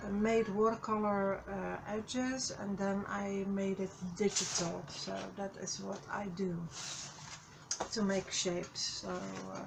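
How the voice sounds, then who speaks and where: A woman with a slightly hoarse voice, hosting a casual craft tutorial over her desk, slow and halting, with many fillers and restarts. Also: medium pitch, level tone, noisy background. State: a little hoarse. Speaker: craft channel host. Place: craft desk, overhead camera.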